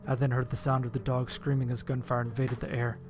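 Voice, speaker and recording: A man's voice: medium pitch, little pitch movement, fast. Man narrating a horror story over music. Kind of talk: dramatic scripted narration in first person. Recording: music under the voice.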